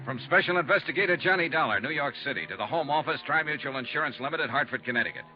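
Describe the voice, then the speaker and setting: An American actor playing a hard-boiled, wry and persistent insurance investigator in 1950s radio drama, with casual, clipped patter. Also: male voice, on the high side, expressive, fast talker, over music, lightly acted. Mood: brisk, narrating. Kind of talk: 1950s radio detective acting. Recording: dull, lightly noisy 1950s radio recording.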